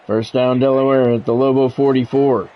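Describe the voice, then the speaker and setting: A man's voice: medium pitch, level tone, moderate pace, muffled recording. A man calls football play-by-play in short, clipped phrases. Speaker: play-by-play announcer. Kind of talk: American football game commentary.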